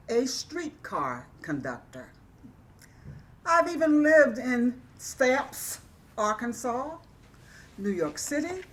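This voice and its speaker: Woman playing a reflective literary woman in a stage monologue, unhurried, theatrical, richly varied in pitch, faint room noise. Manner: measured, theatrical, storytelling delivery. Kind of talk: dramatic monologue in an amateur stage reading.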